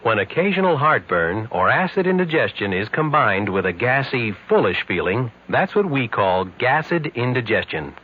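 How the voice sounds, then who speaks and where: Ad announcer, male: husky, emphatic, animated mid-range delivery, slightly dull old radio sound. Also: medium pitch, normal speed, few pauses. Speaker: commercial announcer. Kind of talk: scripted radio advertisement.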